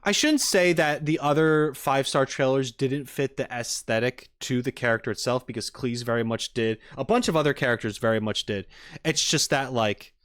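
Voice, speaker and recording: A man's voice: mid-range voice, normal speed, fairly bright tone, clear, resonant tone, no echo, studio quality. A man streaming casually to viewers, rambling and thinking aloud as he gives tentative opinions. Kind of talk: casual live-stream chat, reacting and giving opinions.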